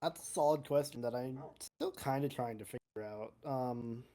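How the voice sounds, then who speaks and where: A player acts out a calm adventurer at a fantasy game court. The voice is dark and mellow. Explanations come out measured and a little hesitant, in an improvised roleplay style. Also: mid-range voice, natural voice. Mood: thoughtful, hesitant.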